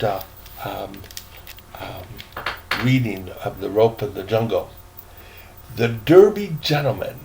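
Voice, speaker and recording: An older man with a gravelly, creaky voice reads a storybook like a grandfather at home. He goes very slowly and haltingly, with playful, self-mocking asides in a folksy, casual style. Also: medium pitch, a little mellow. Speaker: grandfather reading a book aloud.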